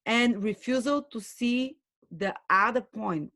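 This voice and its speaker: A woman giving an earnest spoken lecture as a spiritual teacher, pausing and restarting her phrases often. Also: a little high, a bit bright. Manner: earnest, with frequent restarts and hesitations.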